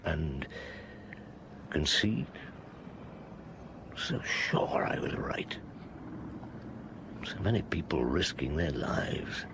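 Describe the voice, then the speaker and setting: An actor playing a weary older police chief inspector in a radio drama, muttering low to himself. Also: male voice, big pitch swings, extremely slow, long pauses, background noise, heavily acted. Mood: brooding.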